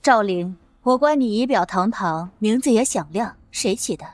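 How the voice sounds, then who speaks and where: A woman voicing a shrewd middle-aged empress and protective mother in a Chinese period drama, speaking in a measured, imperious way. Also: mid-range voice, animated, no room sound, lightly acted. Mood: curious, measured.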